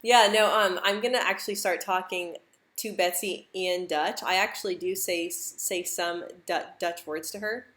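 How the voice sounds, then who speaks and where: A woman's voice: on the low side, steady tone, normal speed, fairly bright tone. A young American woman who stutters, repeating words and sounds, chatting casually on a live stream and laughing easily. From United States.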